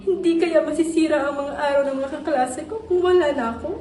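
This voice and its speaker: A young woman acting an exhausted, despairing student, speaking haltingly and repeating her words. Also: high-pitched, darkish tone, close to an everyday voice. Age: young adult. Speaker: student actor. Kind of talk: stage monologue. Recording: echoey room, distant mic, music.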